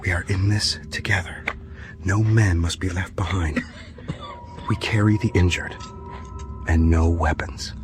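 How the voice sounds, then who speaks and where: A man's voice: sits low, steady tone, unhurried, long pauses, music in the background, low-quality recording. A man acting a firm, urgent leader of escaping prisoners in a period radio drama, commanding and terse. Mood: firm, hushed.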